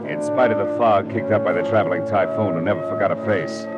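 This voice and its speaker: An actor playing a wry, world-weary private detective in a hardboiled 1940s radio drama, with dry, clipped, sardonic delivery. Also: male voice, low-pitched, fast, dull audio, close to an everyday voice. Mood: hardboiled narrating. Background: music.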